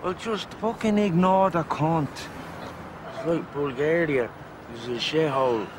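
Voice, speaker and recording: A man's voice: on the high side, unhurried, natural voice. An actor playing a scornful, needling Irish man in a film, with an offhand, sweary way of talking and an Irish accent. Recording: film clip outdoors with traffic noise.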